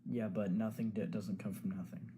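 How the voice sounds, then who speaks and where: A man's voice: fairly low pitch, steady tone, normal speed, mellow. Insistent young man on a noisy call. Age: 20s. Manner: insistent, keeps pressing the same question. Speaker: caller. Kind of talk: call-in debate.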